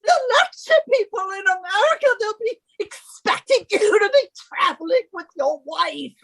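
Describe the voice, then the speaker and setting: A woman's voice: very high voice, expressive, normal speed, creaky, fairly bright tone, heavily acted. A woman playing a bossy, haughty British wife in a radio suspense drama, with an imperious, theatrical delivery. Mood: panicked, pleading.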